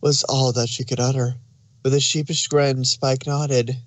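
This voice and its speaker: A man's voice: mid-range voice, flat delivery, unhurried, no echo. A man reading scripted story narration as the narrator.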